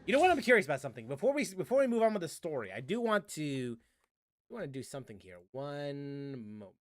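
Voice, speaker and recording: Streamer, man, high and animated, loose rambling chat. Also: fast. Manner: rambling, restarts, chuckles at chat. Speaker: game streamer. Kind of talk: casual live stream chat. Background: game music.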